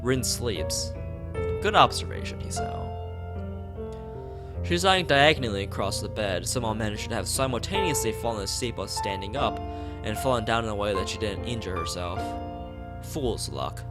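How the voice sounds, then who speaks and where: A man's voice: on the low side, animated, normal speed, loud music behind the voice. A man reading a visual novel aloud as a let's play host, stumbling over words and rereading them, with his own side comments.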